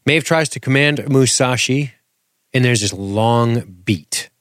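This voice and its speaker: A man's voice: medium pitch, slow pace, fairly bright tone, dry recording. A man co-hosting a casual TV episode recap, keeping the talk on track with dry, teasing humor. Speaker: podcast co-host.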